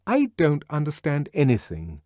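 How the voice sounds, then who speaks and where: A man reading an audiobook and voicing a conventional young woman who pleads in a high, quickly upset voice. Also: huge pitch swings, darkish tone, no echo, clean studio sound, exaggerated voice. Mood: cheerful, insistent. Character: young woman, cheerful, conventional, easily distressed. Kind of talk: audiobook character voice.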